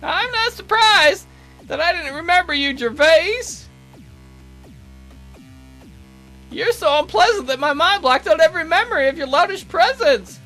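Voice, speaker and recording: A man's voice: extremely high pitch, expressive, moderate pace, bright, forceful tone, faint music behind, pitched above the performer's own voice, exaggerated voice, rough recording. A man voicing a prim, devoted bird servant who speaks in a formal, fawning and fussy way for a visual novel. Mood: indignant. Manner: formal, fawning and easily indignant. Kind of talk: visual novel character voice-over.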